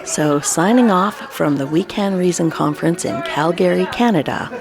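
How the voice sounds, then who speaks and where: A woman's voice: on the low side, moderate pace, music in the background. A woman podcast reporter doing conference interviews and reporting.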